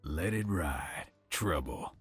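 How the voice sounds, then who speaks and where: An actor playing a cocky gambling gunslinger and card cheat in a video game, speaking in a smooth, sly, unhurried drawl. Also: male voice, extremely low pitch, expressive, soft background music, full character voice. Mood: smug, confident. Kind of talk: video game character voice lines.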